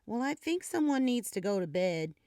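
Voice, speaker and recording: A woman voicing a patient, tired mother who scolds gently in a lower, calm, firm tone. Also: normal speed, no echo, studio quality.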